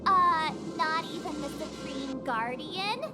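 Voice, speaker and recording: Very high-pitched cheeky girl sidekick. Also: slow, over loud music. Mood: curious, cheeky. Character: cheerful young girl sidekick, curious and cheeky. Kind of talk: video game voice acting.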